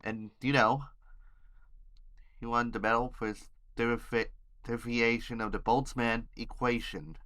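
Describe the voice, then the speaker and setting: A man with a bright, forceful voice at a middling pitch hosts a homemade news podcast. He reads headlines haltingly, trips over words and names, and slips in informal asides. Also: steady tone.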